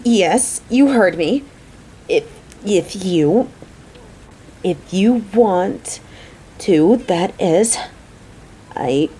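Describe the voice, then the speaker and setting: A woman's voice: mid-range voice, vocal fry, dark tone, slight background noise, poor audio. A woman playing a caring, motherly female coworker who frets over the person close to her, earnest and affectionate in a romantic audio roleplay. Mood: shy, hesitant. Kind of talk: scripted romantic audio roleplay spoken to the listener.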